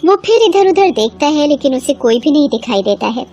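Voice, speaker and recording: A woman's voice: sits high, lively intonation, fairly quick, warm tone, highs cut off. A woman storyteller reading a scripted horror bedtime story. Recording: clear background ambience noise.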